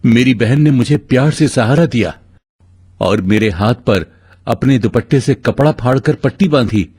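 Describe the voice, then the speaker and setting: A man as a husky, devoted werewolf brother. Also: mid-range voice, normal speed, darkish tone, close to an everyday voice. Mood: solemn resolve. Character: loyal, devoted werewolf brother, protective and solemn. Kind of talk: dramatised children's story voice acting.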